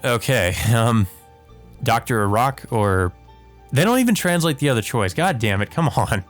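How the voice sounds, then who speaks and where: A man's voice: medium pitch, very animated, moderate pace. A man giving casual commentary and reading visual novel text aloud as a let's play host, with game music behind him. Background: video game music.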